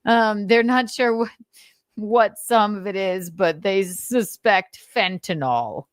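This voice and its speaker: A woman's voice: mid-range voice, takes it slowly, no echo, studio quality. A woman livestream host doing a dramatic reading, breaking off into sweary, sarcastic casual commentary. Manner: sweary, sardonic asides.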